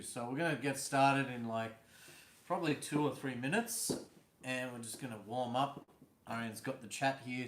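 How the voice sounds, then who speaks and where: A man hosting a live stream from indoors at home, talking casually and teasing his co-host with easy laughs. His voice sits at an ordinary pitch. The room around him rings with a noticeable echo. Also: a bit bright, a little hiss or hum. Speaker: vlog host.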